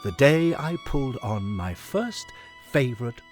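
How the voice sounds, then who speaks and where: A man voicing an elderly Victorian gentleman, fussy, sentimental and dithering, in a dramatic audiobook monologue. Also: mid-range voice, sing-song, measured pace. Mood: swooning, sentimental. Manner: dithering, old-chum endearments, breathy swoons. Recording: music behind the voice.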